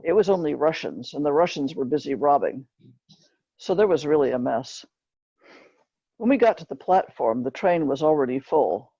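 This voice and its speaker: A woman reads a story aloud in a mellow, husky voice with a gravelly creak. She tells it plainly, in short measured phrases. The recording is dull and roomy. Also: low-pitched, expressive. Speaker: author reading from a book. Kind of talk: scripted narration, book reading.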